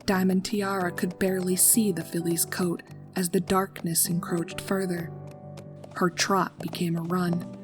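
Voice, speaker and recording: A woman reading a horror story aloud in a calm, steady narrating voice. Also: on the low side, unhurried, soft background music.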